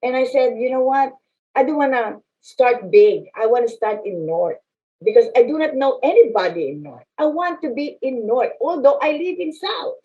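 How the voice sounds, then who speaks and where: A middle-aged woman from the Philippines with a Filipino accent, speaking as an interview guest in an animated, halting way with frequent restarts. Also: sits high. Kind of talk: casual interview talk. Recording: slightly dull lo-fi sound.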